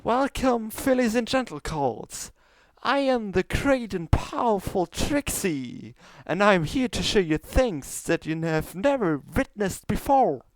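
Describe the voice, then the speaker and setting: A man's voice: sits high, very animated, a little slow, few pauses, dry recording, pitched above the performer's own voice. A man voicing a boastful female pony stage magician in a grand, theatrical storybook reading. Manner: pompous, grandiose showman delivery. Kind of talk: storybook character voice.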